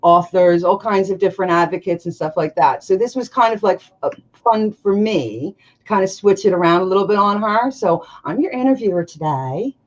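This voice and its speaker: Nasal, stuffy-voiced female host, animated and chatty, on a muffled stream. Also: medium pitch, fairly quick, hardly any pauses. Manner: chatty, laughs often, quotes others with lively voices. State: congested from allergies. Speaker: interviewer. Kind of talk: casual livestream interview. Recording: dull lo-fi stream audio.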